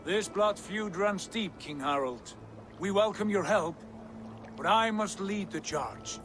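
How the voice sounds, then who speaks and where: An actor playing a proud, bold Viking prince in a video game, with a grand, declamatory delivery. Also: male voice, high-pitched, slow pace, fairly bright tone. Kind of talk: video game cutscene acting. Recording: clear water and outdoor ambience noise.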